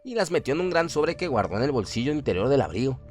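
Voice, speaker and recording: A man's voice: medium pitch, big pitch swings, fast. A man narrating a scripted horror story in a dramatic radio style. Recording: prominent music under the voice. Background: music.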